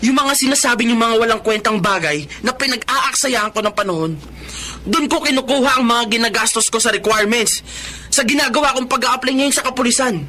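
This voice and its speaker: A man's voice: very high-pitched, bright, forceful tone, slight background noise. An actor playing a young man training to be a police officer who confronts his father, in a radio-style drama.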